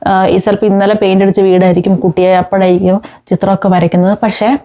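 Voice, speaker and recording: A woman's voice: medium pitch, level tone, fast talker, dark tone, highs cut off. A woman talking informally to viewers, explaining with examples and mixing in English words. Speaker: host of a parenting talk.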